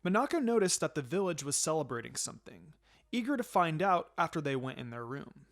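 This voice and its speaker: A man's voice: on the high side, big pitch swings, fairly quick. A man narrating a scripted fan fiction story as a storyteller. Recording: music behind the voice.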